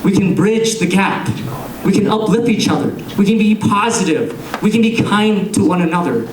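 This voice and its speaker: A young man giving an earnest, measured campaign speech to a room full of people, pausing between phrases. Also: sits high, noisy background. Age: young adult. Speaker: political candidate. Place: large room with an audience. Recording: echoey room, captured from a distance.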